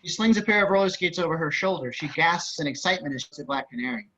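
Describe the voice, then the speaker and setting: A man with a slightly high voice reads scripted stage directions. The pace is quick, and the sound is a bit dull, as on a video call. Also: expressive, pushed and bright. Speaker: narrator reading stage directions. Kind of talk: scripted narration for a table reading.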